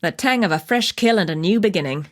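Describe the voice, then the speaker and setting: An actress playing a tough, wry wilderness huntress in a video game, speaking in a measured, dry, matter-of-fact way as she hands out hunting quests. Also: medium pitch, lively intonation, lightly acted. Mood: approving, purposeful. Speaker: game character voice actor.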